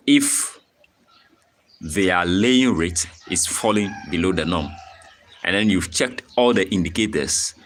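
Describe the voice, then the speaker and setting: A man's voice: deep voice, fairly bright tone, a little hiss or hum. A young Ghanaian man hosting a live-stream farming tutorial, explaining things step by step and checking in with a frequent "okay?". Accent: Ghanaian English. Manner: repetitive, frequent "okay?" check-ins, reads chat aloud. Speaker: poultry farmer and channel host.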